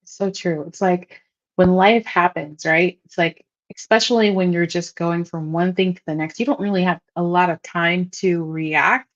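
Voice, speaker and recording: A woman's voice: lowish voice, fairly even intonation, moderate pace, lo-fi sound. A woman hosting an online talk, speaking in an earnest, encouraging way with casual fillers. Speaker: host.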